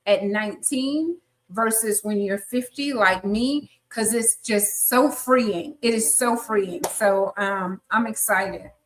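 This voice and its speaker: A woman's voice: medium pitch, slow, slight background noise, rough recording. A woman in her fifties hosting a live podcast interview, chatty and encouraging. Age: 50s. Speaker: podcast host.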